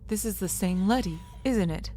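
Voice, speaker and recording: An actress playing an anguished, stubbornly devoted young daughter in a melodramatic radio drama. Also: female voice, mid-range voice, moderate pace, dark tone, slight background noise, natural voice. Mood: determined. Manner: earnest, intense.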